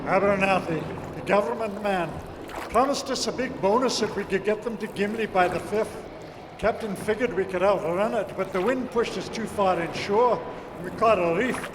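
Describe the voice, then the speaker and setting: A man playing a rough, plain-spoken shipwrecked crewman, salty and blunt, in an old-time radio adventure. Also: high voice, moderate pace, lightly acted. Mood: grim. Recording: reverberant hall, clear background noise.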